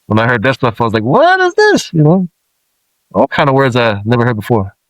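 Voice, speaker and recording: A man in his forties who grew up in Samoa, a rapper guest telling stories in relaxed, casual talk with many fillers. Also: medium pitch, brisk, no room sound, low-quality recording.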